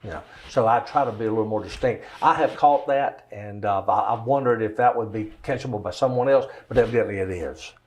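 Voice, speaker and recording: An older-sounding man with a deep Southern American accent, telling stories in a folksy, rambling way in a casual interview. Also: fairly quick, few pauses, a little mellow. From Georgia, United States. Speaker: interview guest, songwriter and singer.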